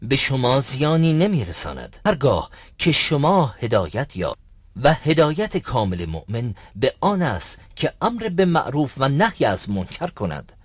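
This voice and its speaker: A man's voice: mid-range voice, very animated, slightly rough, dry recording, studio quality. A man voicing a patient, learned mosque sheikh in an educational cartoon, speaking formally and instructively. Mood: approving, instructive. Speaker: sheikh teaching boys. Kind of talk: dubbed educational cartoon acting.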